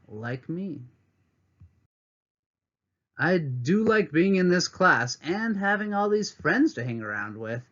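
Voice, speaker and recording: A man reads a picture book aloud to young students in a lively, sing-song way, his voice riding high and swooping widely. He breaks off to talk to the class as their teacher. The sound is a little dull and lo-fi, like a webcam at a desk. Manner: expressive storybook reading with teacherly asides. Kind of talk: read-aloud lesson for children.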